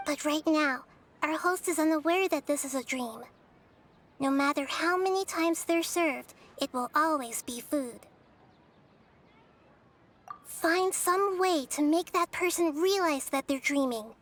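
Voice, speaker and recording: A woman voicing a gentle, wise, childlike young goddess in a video game, speaking softly in a measured, thoughtful, explanatory way. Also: high voice. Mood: thoughtful, instructive. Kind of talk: English video game dub acting.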